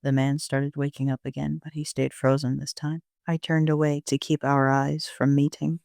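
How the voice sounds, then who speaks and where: A man's voice: mid-range voice, little pitch movement, moderate pace, creaky, a little mellow, no room sound, studio quality. A man narrating a first-person audio drama as an amateur reader, in an understated storytelling manner.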